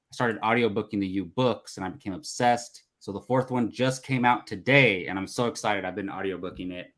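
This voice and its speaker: Lowish-voiced man in casual chat. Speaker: movie show panelist.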